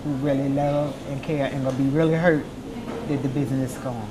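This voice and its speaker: Customer interviewee, casual delivery, medium pitch, noisy field sound. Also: fairly even intonation, a little fast.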